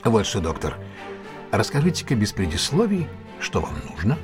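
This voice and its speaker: An actor playing a confident, ironic detective with a husky voice in a Russian audio drama, speaking in a deliberate, theatrical, questioning manner. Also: male voice, on the low side, wildly swooping pitch, over music. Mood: polite, brisk.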